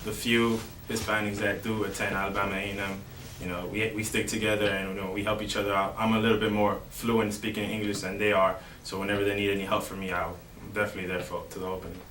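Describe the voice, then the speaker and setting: A young man from Miami, a college baseball player, answering interview questions in a relaxed way with lots of "you know". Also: fairly low pitch, fast talker, hardly any pauses. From Miami, Florida. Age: young adult. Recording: roomy, distant mic with faint noise.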